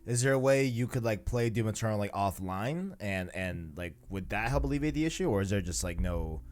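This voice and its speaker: A man's voice: lowish voice, lively intonation, fairly quick, darkish tone. A man hosting a podcast, chatting casually about video games in slangy speech and often repeating himself as he agrees. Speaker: podcast host.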